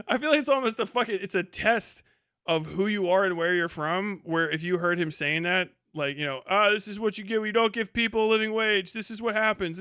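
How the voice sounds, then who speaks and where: A man with a forceful, bright voice pitched a bit high talks off the cuff on a livestream. He swears freely, leans on slang and sarcasm, and animatedly acts out what imagined people would say. The sound is dry and close. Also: clear, resonant tone, dry recording. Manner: profane, slangy. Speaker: live streamer. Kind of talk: casual livestream commentary reacting to chat.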